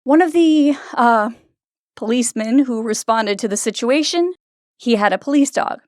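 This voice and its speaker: A woman's voice: a little high, lively intonation, normal speed, darkish tone, no room sound, studio quality, lightly acted. A woman playing a skeptical, guarded fairy-tale princess in a comic dub, wary and matter-of-fact. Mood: defensive.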